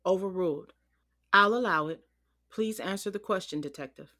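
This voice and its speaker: An authoritative female judge in a courtroom drama. Her voice is somewhat low yet forceful and bright. She rules firmly to keep order. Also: normal speed, no room sound, clean studio sound. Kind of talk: courtroom audio drama acting.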